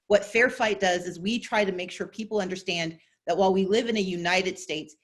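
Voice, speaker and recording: A woman on a video-call panel, explaining in fluent, measured sentences. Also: medium pitch. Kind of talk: panel discussion answer.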